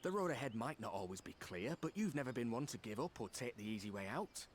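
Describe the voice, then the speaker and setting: An actor playing an earnest, loyal friend in a fantasy video game, plain-spoken and encouraging. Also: male voice, mid-range voice, lively intonation, fast, slight background noise, close to an everyday voice. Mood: reassuring, warm. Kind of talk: dramatic video game voice acting. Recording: music behind the voice.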